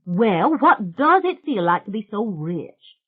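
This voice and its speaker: A woman voicing a brash young country woman who chatters loudly and gushes. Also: mid-range voice, very animated, slightly rough, pitched above the performer's own voice, full character voice. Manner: loud, gushing, rapid chatter. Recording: tinny phone-like sound.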